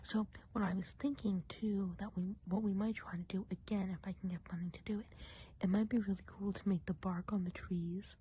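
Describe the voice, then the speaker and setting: A woman voicing a chatty woodland witch in an ASMR roleplay, whispering softly and breathily in a gossipy, confiding way. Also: medium pitch, slight background noise, natural voice. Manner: gossipy, confiding, hesitant casual phrasing. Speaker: ASMR performer.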